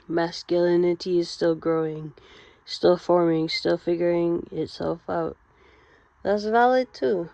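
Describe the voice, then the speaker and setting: In a roomy, slightly muffled recording, a woman leads a therapy-style monologue. Her voice sits a little low for a woman. She speaks gently and deliberately, leaving pauses between short phrases. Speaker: therapy-style guide addressing men. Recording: roomy, slightly dull lo-fi sound.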